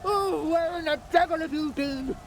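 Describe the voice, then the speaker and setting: An indignant, bossy wife in a video game. Her voice is piercingly high and shrill, nagging and giving orders like she expects obedience, with slight background ambience. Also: moderate pace, a little hiss or hum. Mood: indignant scolding. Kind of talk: video game cutscene acting.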